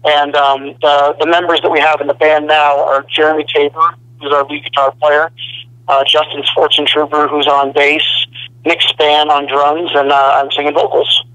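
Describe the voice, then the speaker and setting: A young man, a rock band's singer, talking on a phone interview in a relaxed, rambling way full of "you know.". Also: on the high side, normal speed, clear, resonant tone, no echo. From Arizona. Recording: dry telephone line.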